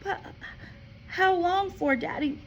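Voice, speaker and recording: A woman voicing a frantic, pleading little girl who cries out high and breathless. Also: slow, highs cut off, heavily acted. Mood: upset pleading.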